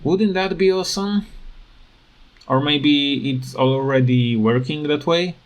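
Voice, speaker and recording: Slow, halting man ranting casually. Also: on the high side. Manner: halting, hesitant non-native phrasing. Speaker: gaming video creator. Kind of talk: casual rant over game footage.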